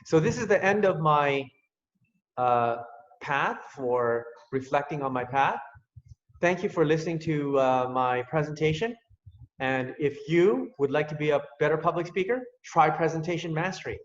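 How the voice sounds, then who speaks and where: A man from Canada with a slightly high voice speaks in an earnest presenter's manner, repeating phrases to drive them home. The sound is muffled and very echoey, with a long hall reverb. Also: moderate pace. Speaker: public speaker, science teacher. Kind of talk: prepared presentation and question answering.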